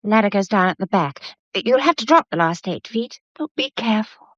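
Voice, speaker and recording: A woman's voice: mid-range voice, lively intonation, a little fast, a bit bright, no echo, lo-fi sound. An actress playing an anxious, dutiful, lovestruck young woman in a radio drama, emotional but well-mannered. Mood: urgent, distressed. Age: young adult.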